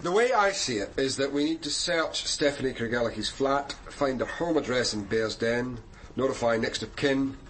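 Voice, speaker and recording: Actor as male senior detective, medium pitch, brisk, slightly hesitant, courteous, radio crime drama. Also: lively intonation, a bit bright, close to an everyday voice. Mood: businesslike. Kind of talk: naturalistic radio drama acting.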